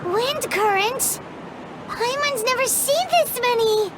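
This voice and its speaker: A woman voicing a small, excitable, childlike fairy companion in a video game, squeaky and exclamatory. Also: slow pace, background noise. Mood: curious, teasing. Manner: exclamatory, refers to herself by name.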